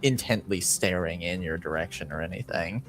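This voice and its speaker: A man narrating a tabletop game as game master, improvising haltingly as he searches for words. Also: deep voice, a bit bright, faint music behind, poor audio.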